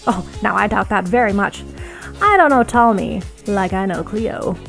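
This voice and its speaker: Woman playing a male rebel radio host, brash, excitable, theatrical, emphatic, audiobook monologue, faint music. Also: mid-range voice, normal speed, gravelly. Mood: boastful.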